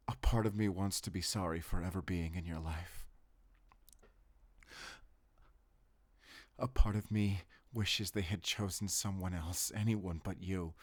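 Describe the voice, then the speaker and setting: A man's voice: low-pitched, no room sound. A man playing a brooding, guilt-ridden hunter and tender lover in a cinematic romantic audio roleplay, earnest and intimate. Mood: anguished, regretful.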